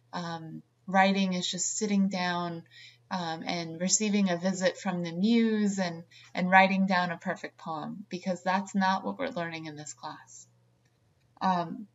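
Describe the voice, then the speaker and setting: A woman's voice: medium pitch, lo-fi sound. A middle-aged woman, a creative writing instructor, delivering a recorded online course lecture in an earnest way, with many ums and restarts.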